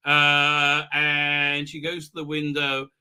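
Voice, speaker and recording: A man hosting a fan review livestream, giving a rambling, halting, sarcastic recap with repeated words and exasperated outbursts. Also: on the high side, completely flat delivery, sharp tone, clear, resonant tone. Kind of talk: casual mocking episode recap.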